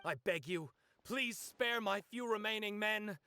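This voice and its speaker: High-voiced defeated general. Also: male voice, unhurried, pushed and bright, dry recording. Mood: pleading, resigned. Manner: formal, declamatory. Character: defeated army general, pleading and dignified. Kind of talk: stage-play acting in a video game. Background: background music.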